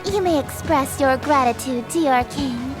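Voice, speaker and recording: A woman's voice: sits high, a little slow, music in the background. A woman voicing a haughty, menacing eldritch queen in a visual novel game, with imperious, formal and theatrical delivery. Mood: imperious, threatening. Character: haughty, menacing eldritch queen who calls herself king.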